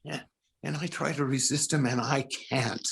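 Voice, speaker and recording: A male actor playing a tormented, unraveling concert pianist in a 1940s-style radio drama, stammering and halting in an overwrought way. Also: mid-range voice, fairly even intonation, vocal fry, fairly bright tone. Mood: haunted, confessional.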